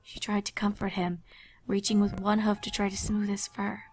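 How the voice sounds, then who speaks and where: Woman narrating a spooky story, mid-pitched. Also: little pitch movement, normal speed, a little mellow, a little hiss or hum. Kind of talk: scripted dramatic story narration.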